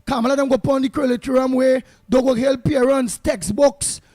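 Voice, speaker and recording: A man with a Trinidadian Creole accent chatting casually as a co-host, in an animated, colloquial, sing-song way. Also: very high voice, moderate pace. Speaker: talk show co-host. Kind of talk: casual political chat.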